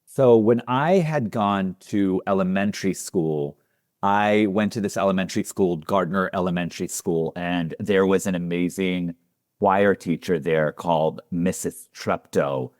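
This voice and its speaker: A middle-aged man from Israel, telling his life story in a relaxed, affectionate way as a podcast guest. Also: deep voice, measured pace, no echo, studio quality. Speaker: guest hairstylist. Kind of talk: casual interview talk.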